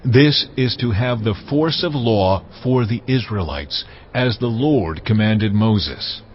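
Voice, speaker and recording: Low, commanding voice of God. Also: male voice, animated. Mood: solemn commanding. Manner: solemn, measured, commanding. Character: God, authoritative male Lord giving commands. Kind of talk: dramatized audio Bible acting. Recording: dull audio, faint background noise.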